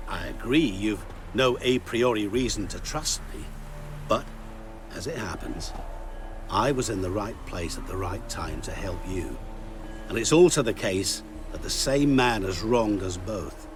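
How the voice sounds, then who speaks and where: An actor playing a courteous, cunning and mysterious dealmaker in a video game, speaking in eloquent, theatrical, formal phrases with deliberate pauses. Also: male voice, fairly low pitch, lively intonation, music in the background. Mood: coolly insistent.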